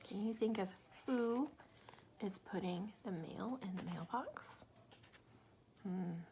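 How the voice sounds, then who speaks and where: A woman reading a story aloud to young children, dropping into soft asides and simple questions for them. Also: medium pitch, slow pace, slight background noise. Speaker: children's story reader, teacher.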